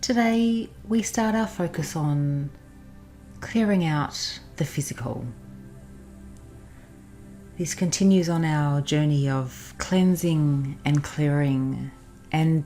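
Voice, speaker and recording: A woman narrating a guided meditation in a soothing, instructional manner with pauses. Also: low voice. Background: soft background music.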